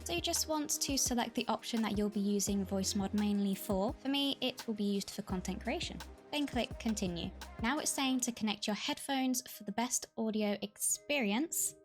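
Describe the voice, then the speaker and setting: A woman with a fairly high voice guides listeners through a software tutorial. Her delivery is friendly and keen, like a prepared walkthrough with casual touches. Music plays behind her. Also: normal speed, hardly any pauses. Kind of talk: scripted tutorial narration with casual enthusiasm. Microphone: Blue Yeti desktop microphone. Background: background music.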